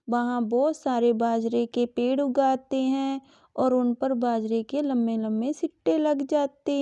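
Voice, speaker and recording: A woman narrating a scripted children's story in a measured, even storytelling manner. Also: sits high, no room sound, dull audio.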